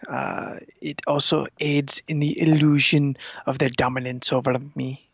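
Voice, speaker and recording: A man voicing a haughty male cat who schemes to rule humans, speaking in a slow, formal, pompous way. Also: medium pitch, flat delivery, gravelly, breathy edge, dry recording. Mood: condescending. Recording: dull lo-fi sound.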